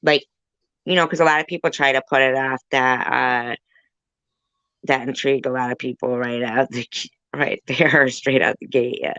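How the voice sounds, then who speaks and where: A woman hosting a casual livestream conversation, talking in a halting, roundabout way. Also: very deep voice, steady tone, fairly bright tone, no echo, highs cut off. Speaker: livestream host.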